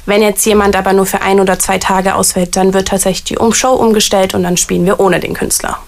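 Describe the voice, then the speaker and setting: A woman's voice: medium pitch, flat delivery, quick pace, creaky. Chatty woman explaining her job. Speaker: artist coordinator at a variety theatre. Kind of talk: casual interview answers.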